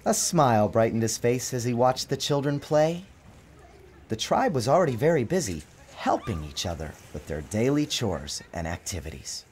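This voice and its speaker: A man giving a dramatic, expressive narration of a storybook, theatrical in his phrasing. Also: medium pitch, normal speed, slight background noise. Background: music.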